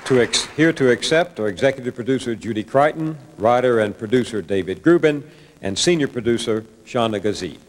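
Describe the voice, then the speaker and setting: A man with a medium-pitched voice reads a scripted award presentation. His voice carries a long hall reverb. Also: animated, fairly quick, a little hiss or hum.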